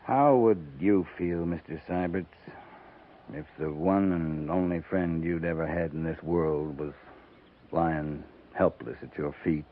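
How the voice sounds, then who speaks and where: Commanding cavalry captain, tinny old radio. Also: male voice, low-pitched, steady tone, unhurried. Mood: somber, reflective. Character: cavalry captain, commanding, grave, weathered leader of men. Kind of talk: 1950s radio drama acting. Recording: lo-fi 1950s radio broadcast, noisy.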